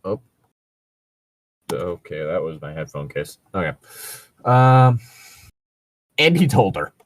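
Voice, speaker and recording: Animated, husky man with crude humour. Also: low-pitched, slow pace, no room sound. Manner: crude, profane, irreverent. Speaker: co-host reading fan fiction aloud. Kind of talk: casual banter and mock dramatic reading.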